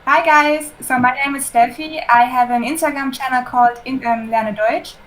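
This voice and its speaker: A woman from Germany on a video call, explaining things clearly. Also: high-pitched, steady tone, normal speed, fairly bright tone, poor audio. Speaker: interviewee.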